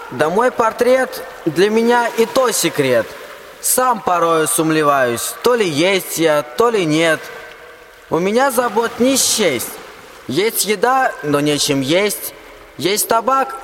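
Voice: mid-range voice, sing-song, slow, fairly bright tone. A performer playing a weary, ancient invisible spirit in a rhymed radio play, with plaintive folksy declamation. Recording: reverberant, faint ambient noise behind.

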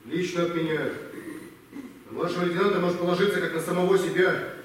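A man's voice: high voice, fairly even intonation, fast talker, close to an everyday voice. A man playing a stern, commanding wartime army officer in a stage drama, giving curt, businesslike orders. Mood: stern, instructing. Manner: curt, authoritative, clipped orders. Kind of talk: amateur stage war drama. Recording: very echoey hall, distant mic, faint noise.

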